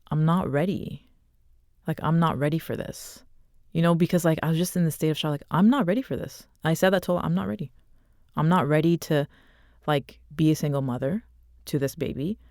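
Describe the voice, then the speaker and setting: A woman in her thirties telling a personal story as an interview guest, speaking softly and reflectively, pausing often with fillers. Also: low-pitched, gravelly, no room sound, studio quality. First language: not Arabic. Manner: soft, reflective, halting with many fillers. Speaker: author and podcast guest.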